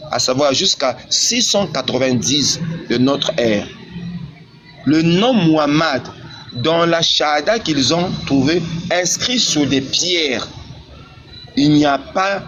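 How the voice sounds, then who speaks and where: A man's voice: fairly high pitch, animated, measured pace, a bit bright. A man debating religion heatedly, speaking in an insistent, repetitive, argumentative way over a live online connection. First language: not Arabic. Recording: muffled lo-fi call, background noise.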